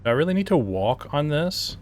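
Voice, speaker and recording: A man playing and reviewing a game, thinking aloud in casual, laid-back, slightly halting commentary. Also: on the high side, sing-song.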